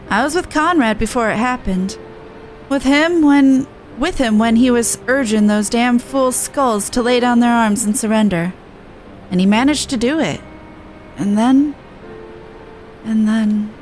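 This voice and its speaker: Woman playing a high-voiced, blunt resistance soldier. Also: close to an everyday voice, low-quality recording. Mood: somber. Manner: earnest, blunt, rough-and-ready phrasing. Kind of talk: game dialogue voice-over.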